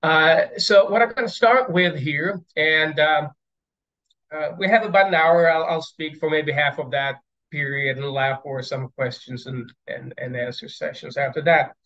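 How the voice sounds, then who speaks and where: A man in his fifties from Sweden giving an academic keynote over a video call, pausing often with fillers and repeated words. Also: on the high side, sharp tone. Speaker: plenary speaker. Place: indoors at home, stairs behind. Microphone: video call webcam. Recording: dull lo-fi video call audio.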